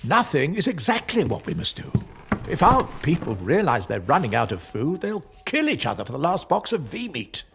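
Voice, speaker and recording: A man's voice: fairly high pitch, wildly swooping pitch, moderate pace, vocal fry, a bit bright, a little hiss or hum, exaggerated voice. An actor playing a pompous, evasive British father and town leader, theatrical and avuncular, in a video game cutscene. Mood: stern, persuading.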